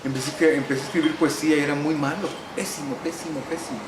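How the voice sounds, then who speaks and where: A middle-aged man from El Salvador with a Salvadoran Spanish accent, talking through his life as a writer in an unhurried, reflective way, pausing on "eh" and adding wry humor. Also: on the high side, fairly even intonation. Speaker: interviewee, novelist and musician. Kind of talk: relaxed recorded interview. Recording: echoey room with air-conditioning hum.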